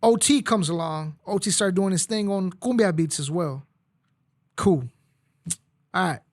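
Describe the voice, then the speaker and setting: A man's voice: high-pitched, unhurried, no echo, clean studio sound. A man born in Mexico who grew up speaking Spanish hosts a casual talk show. He speaks bluntly and heatedly, with plenty of profanity. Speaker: podcast host. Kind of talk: casual chat.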